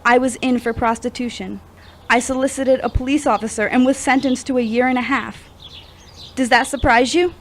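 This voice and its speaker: A woman's voice: sits high, steady tone, normal speed. A woman playing a wounded, confessional young woman in her twenties in an audio drama, speaking in an earnest, confiding way. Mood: somber confession. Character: wounded, confessional twenty-four-year-old woman, recovering addict. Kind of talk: scripted audio drama acting.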